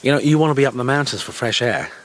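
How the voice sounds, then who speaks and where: An actor playing a flattering, flirtatious travelling salesman from England in a radio drama. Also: medium pitch, rapid-fire, close to an everyday voice, poor audio. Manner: coaxing, flattering. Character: smooth-talking, flirtatious English travelling salesman.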